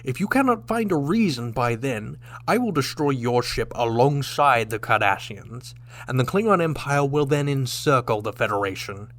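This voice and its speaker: An actor playing a proud, stern old Klingon warrior captain in a sci-fi audio drama, formal and declamatory in manner. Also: male voice, medium pitch, lively intonation, moderate pace, fairly bright tone, lightly acted. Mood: grave threat.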